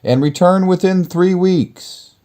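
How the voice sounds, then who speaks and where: A man's voice: sits high, slow pace, dark tone, pitched above the performer's own voice. A man voicing a monstrous fairy-tale beast, menacing yet lovelorn, with grand, emphatic delivery. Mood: sorrowful pleading.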